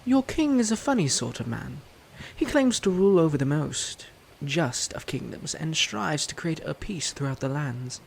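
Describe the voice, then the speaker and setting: Man acting a smug, animated, silky prince. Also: fairly high pitch, normal speed, vocal fry, hardly any pauses, a little hiss or hum. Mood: silky, menacing. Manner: teasing, haughty, silky. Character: suave, smug, sinister flirtatious prince. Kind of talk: romantic roleplay audio drama. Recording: music under the voice.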